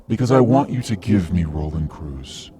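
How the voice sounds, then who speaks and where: A man playing a cold, self-righteous vigilante killer in a radio drama, speaking in a measured, menacing, lecturing way. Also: sits low, lively intonation, a crowd in the background. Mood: smug, calculating.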